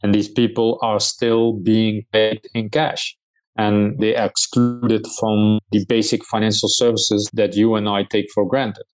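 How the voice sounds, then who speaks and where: Middle-aged Dutch-accented founder, lowish voice, measured delivery with hesitations. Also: male voice, level tone, low-quality recording. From Netherlands. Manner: measured, with frequent hesitations and restarts. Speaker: startup founder and CEO. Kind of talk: conversational interview answers.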